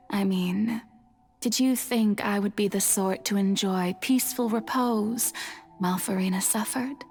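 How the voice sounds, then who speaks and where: A woman voicing a serene, playful, centuries-old goddess resigned to sacrifice, with theatrical, gently teasing, formal delivery in game dialogue. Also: medium pitch, slow pace, pauses often. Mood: calm, resolute. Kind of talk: video game character dialogue. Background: music.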